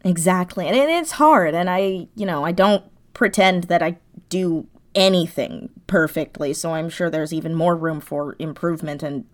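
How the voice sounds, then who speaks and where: A woman speaks as an interview guest in a slightly low register. Her delivery is conversational and reflective, and she often circles back to correct herself while searching for the right words. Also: normal speed. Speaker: audio drama creator being interviewed.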